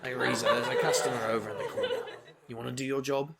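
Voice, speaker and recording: A man's voice: mid-range voice, level tone, fast talker, gravelly, a bit bright, husky, some chatter behind. A man playing a roguish, easygoing bartender who banters wryly in a comic audio drama. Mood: casual. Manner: wry, bantering.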